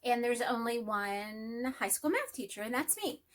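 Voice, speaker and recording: A woman's voice: on the high side, expressive, a little slow. A middle-aged woman with a Texas twang and a nasal voice, chatting casually to camera about her life. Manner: rambling, friendly, self-deprecating. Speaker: hobby vlogger and math teacher.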